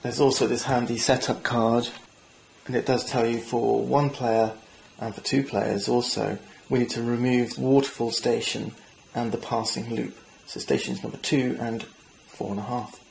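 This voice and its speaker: A man with a gravelly, creaky voice gives a board game setup walkthrough. His pitch stays flat, and his tone is chatty and keen, with self-mocking asides. A faint hiss sits behind him. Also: normal speed, low-quality recording. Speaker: board game channel host. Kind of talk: tabletop game rules walkthrough.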